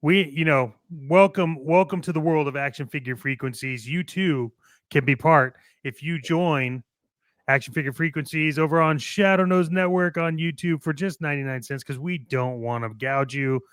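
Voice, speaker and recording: A man's voice: fairly high pitch, normal speed, a bit bright, no echo, clean studio sound. A man co-hosting a casual toy collecting show, speaking with enthusiastic, cocky, jokey hype. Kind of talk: casual radio show chat and playful ad reads.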